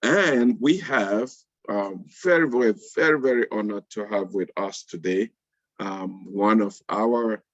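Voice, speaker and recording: Low-pitched man hosting, halting delivery, muffled audio. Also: no room sound. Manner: halting, repeats words. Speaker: panel host. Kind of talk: live webinar hosting and introductions. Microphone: video call. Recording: muffled online call audio.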